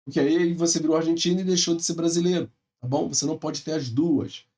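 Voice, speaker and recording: A man's voice: on the high side, fairly even intonation, fast, darkish tone, recorded from a distance. A male math teacher with a Brazilian Portuguese accent, explaining step by step in a recorded lecture and often checking in with his students.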